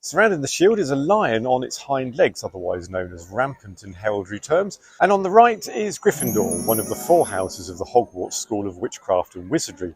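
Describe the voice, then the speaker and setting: Jokey host narrating, animated pitch, soft music behind. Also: male voice, moderate pace, few pauses. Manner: playful, jokey delivery. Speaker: video host. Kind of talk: scripted narration.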